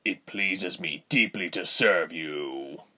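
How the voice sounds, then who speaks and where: A man's voice: deep voice, slow pace, bright, forceful tone, slightly rough, full character voice. A man voicing a servile, pleading henchman in a fantasy story. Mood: fawning. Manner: obsequious, pleading. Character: fawning, needy male henchman begging for reward.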